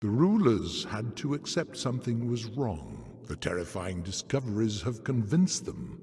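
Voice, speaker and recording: Game herald of doom: commanding, dismissive, slow dramatic delivery with big swings, long reverb. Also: male voice, lowish voice, very animated, darkish tone. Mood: ominous. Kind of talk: dramatic scripted game cutscene dialogue. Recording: long hall reverb, faint music behind.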